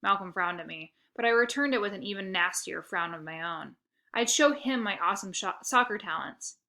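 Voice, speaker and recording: A woman narrating a children's book aloud with expressive, first-person storytelling. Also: medium pitch, brisk.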